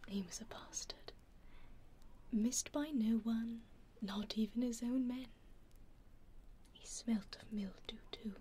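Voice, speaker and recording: Woman acting a whispering, slow British vampire lady. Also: fairly high pitch, steady tone, slight background noise. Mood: cool, bitter. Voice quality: breathy whisper. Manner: hushed, unhurried, intimate. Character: centuries-old vampire lady, aloof yet quietly caring. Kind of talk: ASMR roleplay, whispered. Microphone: close binaural microphone.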